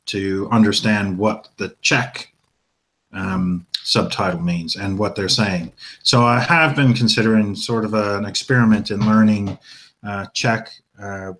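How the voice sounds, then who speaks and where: A man co-hosting a casual chat show, rambling and hesitant, with many pauses and fillers, and a dry, self-mocking way of talking. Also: on the low side, fairly bright tone. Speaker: podcast co-host.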